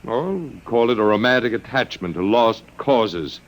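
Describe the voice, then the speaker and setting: A man's voice: medium pitch, lively intonation, measured pace, close to an everyday voice. A man playing a stubborn captive in a 1950s radio drama, speaking with dry, sardonic wit. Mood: wry.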